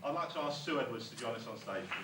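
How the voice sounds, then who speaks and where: Bloke doing thank-yous at an amateur show, really noisy tape, echoey room. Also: male voice, mid-range voice, lively intonation, fast talker, distant mic. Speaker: master of ceremonies at an amateur show. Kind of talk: informal closing speech to an audience. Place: school hall stage. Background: loud noise and room ambience.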